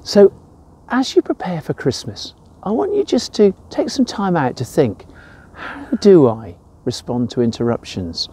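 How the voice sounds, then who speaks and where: Older man, English accent, mellow tone, lively swooping intonation, informal church devotional. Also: a little high, unhurried, husky. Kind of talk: informal devotional talk with personal anecdotes.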